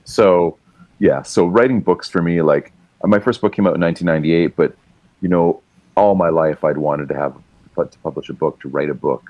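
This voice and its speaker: Middle-aged canadian guy on a podcast, really low voice, dry close mic, rambles and swears a bit. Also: dry recording, dull audio. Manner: rambling, laughing, swears freely, many restarts. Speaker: podcast guest, writer and musician. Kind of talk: casual chat, storytelling.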